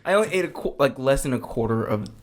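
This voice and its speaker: A man's voice: medium pitch, expressive, quick pace. Giggly young man telling food stories. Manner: self-deprecating, giggly storyteller. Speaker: podcast co-host. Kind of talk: casual chat among friends.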